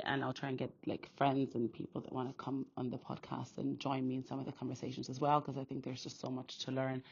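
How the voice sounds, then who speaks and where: A woman from Austria hosting a podcast, sharing her faith in an earnest, quick, casual monologue full of restarts. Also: extremely low pitch, gravelly, slightly rough. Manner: earnest, talks fast with many restarts. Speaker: podcast host. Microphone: phone. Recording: dull phone recording.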